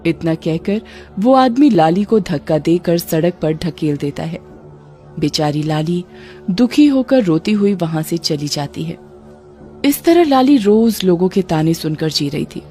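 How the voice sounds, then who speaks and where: A woman's voice: on the low side, lively intonation, measured pace, mellow, faint music behind. A woman narrating a scripted story as a storyteller.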